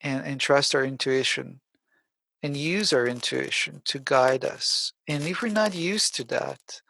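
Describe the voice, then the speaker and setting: A man hosting a casual conversational interview, supportive and somewhat halting, often repeating words as he goes. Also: medium pitch, vocal fry, bright, forceful tone. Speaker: podcast host.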